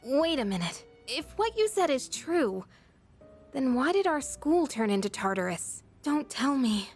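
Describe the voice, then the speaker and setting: A woman playing an outspoken, suspicious high school girl in a video game, speaking in a pointed, emotional way. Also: sing-song, a little slow, soft background music, exaggerated voice. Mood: uneasy, probing. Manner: pointed, questioning, indignant.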